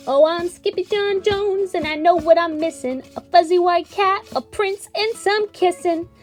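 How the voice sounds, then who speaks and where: A woman's voice: very high voice, unhurried, soft background music, pitched above the performer's own voice. A woman voicing a feisty, boastful young male storybook kitten who is defiant and dramatic. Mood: singsong, boastful.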